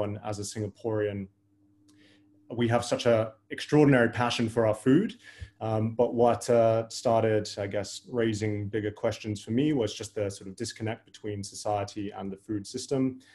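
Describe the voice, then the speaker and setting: A man's voice: fairly low pitch, fairly even intonation, moderate pace, darkish tone. A middle-aged man introducing himself as an investor on a remote panel. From Hawaii, United States.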